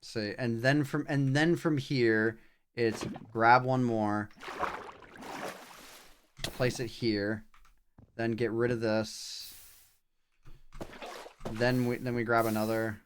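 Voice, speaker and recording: A guy on a gaming livestream muttering his way through practice in a medium-pitched voice, with mouse clicks and some noise underneath. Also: steady tone, slow, pauses often. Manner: thinking aloud in short, fragmented remarks while playing. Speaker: video game livestreamer. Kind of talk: casual live gameplay commentary. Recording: clear background noise, clicking.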